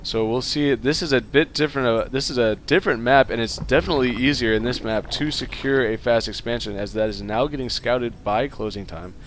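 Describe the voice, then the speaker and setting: A man's voice: mid-range voice, fairly quick, few pauses, slight background noise. A man with a stuffed-up nose giving casual solo play-by-play commentary on a strategy game, with plenty of fillers. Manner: casual play-by-play, many fillers, rising excitement in fights. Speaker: esports caster.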